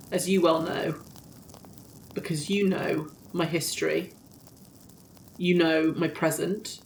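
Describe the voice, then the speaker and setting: A woman voicing a defiant, threatening heroine in a tabletop game, with stern, deliberate, formal declarations. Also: sits low, a little hiss or hum, rough recording. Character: defiant, threatening female avenger of the good.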